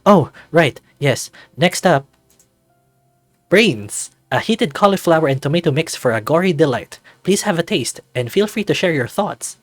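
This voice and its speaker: A man's voice: on the high side, lively intonation, normal speed, no echo, pitched above the performer's own voice, close to an everyday voice. Guy doing a posh-mannered fussy bat chef host, dry close sound, music behind, visual novel. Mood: announcing. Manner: formal, genteel, presentational. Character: refined, fussy male gourmet bat monster host, easily flustered.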